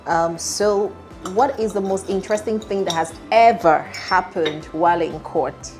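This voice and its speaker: A woman's voice: lowish voice, unhurried, darkish tone, soft background music. A woman interviewing a guest, asking polite, deferential and formal questions.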